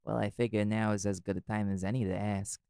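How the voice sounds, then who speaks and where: A man's voice: fairly low pitch, flat delivery, fast, gravelly, very noisy. A man playing a cool, wry, flirty magical private eye in an intimate audio roleplay, teasing dryly and swearing casually.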